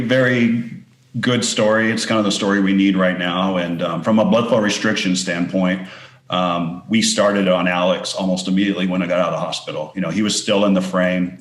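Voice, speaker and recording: A man from West Texas with a West Texas accent, a physical therapist explaining things as a guest in a casual podcast interview, relaxed with many restarts. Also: deep voice, echoey room.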